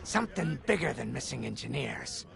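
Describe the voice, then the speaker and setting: A man playing a chatty, irreverent rebel pilot in a video game, with a gravelly voice and a breezy, conspiratorial way of talking. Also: medium pitch, sing-song, normal speed, background noise. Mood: gossipy, conspiratorial. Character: chatty, irreverent rebel squadron pilot, gossipy and laid-back. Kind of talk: video game cutscene dialogue.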